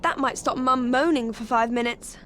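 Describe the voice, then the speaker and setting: Game role: practical teen witch, played by a woman, high voice, casual delivery, faint music underneath. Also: normal speed, a bit bright, lightly acted. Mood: casual, mildly put-upon. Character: chatty, practical teenage witch girl. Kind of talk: scripted video game cutscene acting.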